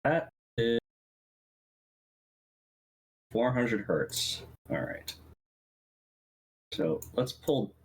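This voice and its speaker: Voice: mid-range voice, sing-song, very slow, slight background noise. A friend on a voice call, teasing and talking through audio settings. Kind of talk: casual chat.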